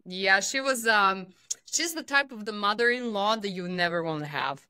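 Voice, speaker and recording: A woman in her thirties from Bosnia, a guest telling stories in a casual interview with lively, expressive delivery. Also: mid-range voice, moderate pace, clean tone, no room sound. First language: Serbian. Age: 30s. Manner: animated, expressive storytelling.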